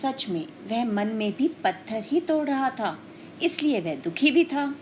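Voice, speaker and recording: A woman teacher speaks to children in a storytelling lesson style, with a fairly high voice that moves up and down in a lively way. She takes her time, explaining and questioning didactically, over faint background noise. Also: unhurried.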